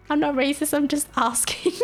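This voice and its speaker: A young woman with a Filipino accent chatting casually on a live stream, teasing her chat and laughing often. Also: high voice. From Philippines.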